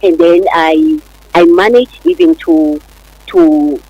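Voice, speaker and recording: Young woman, radio guest, slow delivery, hesitant, polite, personal testimony, dry close sound. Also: fairly low pitch, sing-song, a little mellow, breathy edge, no echo, muffled recording. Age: young adult. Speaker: interview guest.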